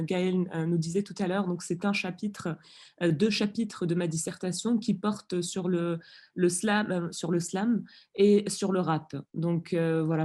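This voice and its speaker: A young woman from Morocco, a doctoral researcher giving a conference presentation in a careful, measured way with frequent hesitant fillers. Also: fairly low pitch, mellow. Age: young adult.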